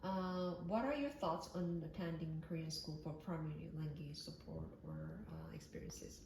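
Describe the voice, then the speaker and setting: A woman's voice: deep voice, big pitch swings, moderate pace, a little mellow, a little hiss or hum. A woman interviewer who asks her questions politely and somewhat hesitantly, with fillers. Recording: roomy echo.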